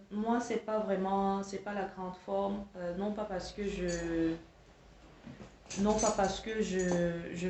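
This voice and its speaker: A woman's voice: on the low side, dark tone, slight background noise. A woman telling a personal story to listeners in an exasperated, emphatic way, often repeating words as she searches for phrasing. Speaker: online coach telling a personal anecdote. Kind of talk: spoken storytelling to camera, recounting dialogue. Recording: echoey room, slightly dull lo-fi sound.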